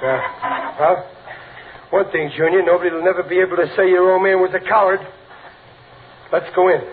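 A man's voice: high voice, fairly even intonation, a little fast, background noise, lightly acted. Nervous blustering dad played by a man, tinny old radio. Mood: cowardly bluster. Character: jumpy, cowardly, blustering working-class dad. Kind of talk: 1940s-50s radio comedy acting.

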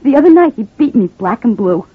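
An actress playing a scheming working-class wife in an old-time radio crime drama, talking in streetwise slang and sweetly feigning victimhood. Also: female voice, on the high side, sing-song, brisk, husky. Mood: plaintive, fretful. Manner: streetwise slangy, ungrammatical talk. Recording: muffled, phone-like vintage radio recording.

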